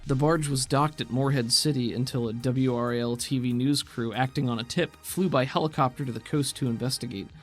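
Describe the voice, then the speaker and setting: A man's voice: medium pitch, fairly even intonation, a little fast, hardly any pauses. Man in casual podcast chat over music. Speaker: podcast co-host; game master.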